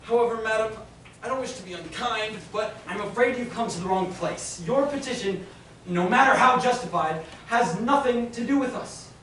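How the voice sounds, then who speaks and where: A man's voice: high-pitched, normal speed, a bit bright. A man acting a pompous bank manager who is losing patience with a visitor, in a stage play. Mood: stiff, patient. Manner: stuffy, exasperated, prone to outbursts. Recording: roomy hall from far off, faint noise.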